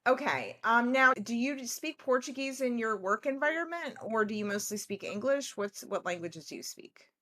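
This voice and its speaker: Woman host, relaxed chatty, mid pitch, casual filler-heavy talk. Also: moderate pace, few pauses. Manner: chatty, relaxed, uses "like" often. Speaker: podcast host. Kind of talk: casual conversation.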